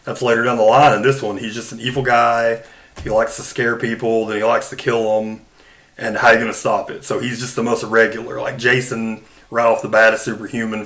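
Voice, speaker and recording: Informal male podcast host, mid-pitched. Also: little pitch movement, brisk, fairly bright tone, poor audio. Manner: informal, conversational, emphatic. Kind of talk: casual film discussion.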